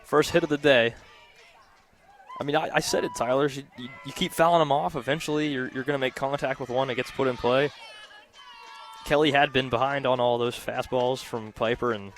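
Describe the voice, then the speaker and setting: Mid-pitched man giving softball color commentary. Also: fairly even intonation, a little fast, some chatter behind. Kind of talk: live radio sports analysis. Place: outdoors at a ballfield.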